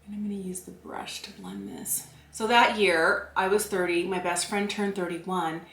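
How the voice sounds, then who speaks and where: A woman's voice: fairly low pitch, expressive, normal speed, fairly bright tone, reverberant. A woman in her forties chatting casually through a makeup tutorial, narrating each step with self-deprecating asides. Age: 40s. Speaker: beauty vlogger.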